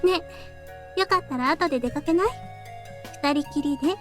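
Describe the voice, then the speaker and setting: A woman acting as a girlish young heroine from a Japanese visual novel. Her voice is very high-pitched and she speaks briskly. Music plays underneath. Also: natural voice. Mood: soft, composed.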